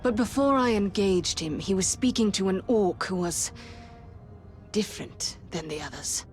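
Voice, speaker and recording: A woman's voice: mid-range voice, animated, normal speed, lightly acted. Woman as a stern, imperious elf warrior. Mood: stern, commanding. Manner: imperious, threatening. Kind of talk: video game character acting. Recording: loud music behind the voice.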